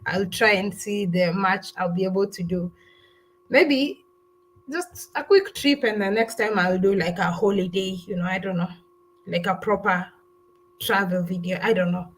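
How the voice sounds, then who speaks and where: A woman's voice: medium pitch, moderate pace, rough recording. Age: adult. Sex: woman. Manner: chatty, rambling, live-stream host. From Kenya. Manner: chatty and rambling, with frequent restarts and "I don't know". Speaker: travel vlogger hosting a live stream. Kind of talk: casual live-stream chat, answering viewer comments.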